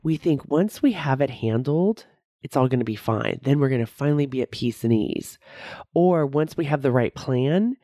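A woman's voice: a bass voice, animated, normal speed, warm tone, no echo, studio quality. A woman of about fifty with a head cold, hosting a solo podcast as a coach and talking conversationally, often tagging sentences with "right?". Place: recording studio.

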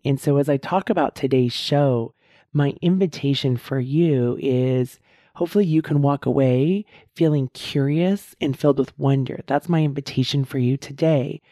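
Middle-aged female podcast host, hoarse and husky, low mellow tone, close dry mic, earnest coaching delivery. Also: no room sound. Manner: earnest, encouraging, repeats key points slowly. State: hoarse, strained voice. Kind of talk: casual solo podcast talk.

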